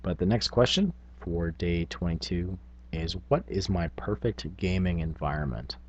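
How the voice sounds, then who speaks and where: A man's voice: low voice, moderate pace, dull audio, a little hiss or hum. A man speaking informally to camera as a tabletop gaming vlogger, thinking aloud in casual talk. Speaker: tabletop gaming video blogger.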